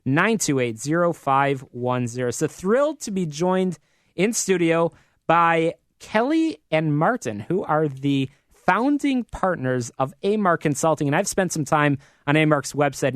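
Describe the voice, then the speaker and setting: A man's voice: a little high, sing-song, moderate pace, dry recording. An American man hosting a live business talk radio show from a studio in an upbeat, promotional manner.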